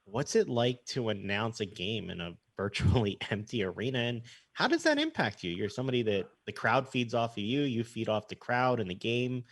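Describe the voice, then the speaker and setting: A man's voice: mid-range voice, big pitch swings, moderate pace, fairly bright tone, dry recording. A man hosting a casual sports interview, asking questions in a conversational way. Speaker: interview host.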